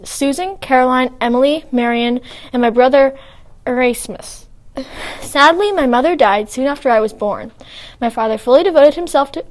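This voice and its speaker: A woman's voice: on the high side, close to an everyday voice. Darwin, played by a young woman, earnest. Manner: earnest, reads with small restarts. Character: Charles Darwin, young male naturalist. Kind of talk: school-project role-play reading.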